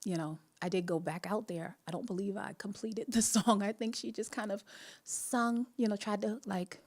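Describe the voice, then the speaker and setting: A young woman with a Jamaican accent hosting a faith podcast, telling a personal story in a casual, animated way and laughing often. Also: medium pitch, moderate pace. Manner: laughs often, animated, many restarts and asides. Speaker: podcast host.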